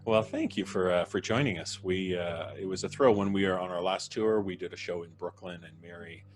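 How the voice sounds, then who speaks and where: Low-voiced jokey male podcast host. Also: a little fast, slight background noise. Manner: jokey, rambling, laughs at his own quips. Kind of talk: casual live-stream introductions. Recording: music playing in the background.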